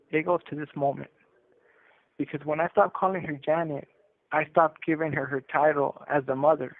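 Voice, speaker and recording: Man on a tinny phone line, higher-pitched. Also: no echo. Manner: earnest, conversational, often tagging with "you know" and "right". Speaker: prisoner telling his life story on a call-in show. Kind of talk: reflective personal storytelling over a phone call. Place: prison phone call. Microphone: telephone handset.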